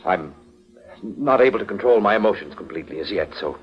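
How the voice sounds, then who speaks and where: A very deep-voiced, bereaved husband, played by a man in an old radio mystery. He is polite, restrained and slightly halting, and the vintage recording is muffled. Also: animated, close to an everyday voice. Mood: subdued grief. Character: grieving, courteous secret husband of a murdered woman. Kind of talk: golden age radio drama acting.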